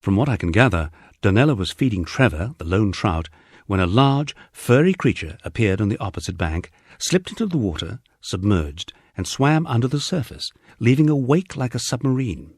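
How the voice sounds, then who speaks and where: A man with a husky voice reading a wry first-person memoir as scripted audiobook narration, dryly humorous and wordy. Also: on the low side, sing-song, moderate pace, darkish tone, no room sound, clean studio sound.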